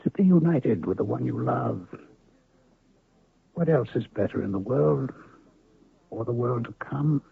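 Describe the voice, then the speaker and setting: An actor playing an honest, weary gambler who is a doctor, in an old radio drama, speaking calmly and drily with a gravelly voice. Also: male voice, medium pitch, unhurried, pauses often, dry recording, full character voice. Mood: dying. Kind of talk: 1970s radio drama acting. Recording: tinny lo-fi old radio broadcast.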